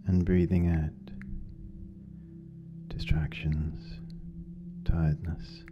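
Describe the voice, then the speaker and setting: A meditation guide speaking gently and unhurriedly, with long pauses, leading a guided meditation. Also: rumbling low, flat delivery. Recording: dull lo-fi sound, music behind. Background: meditation music.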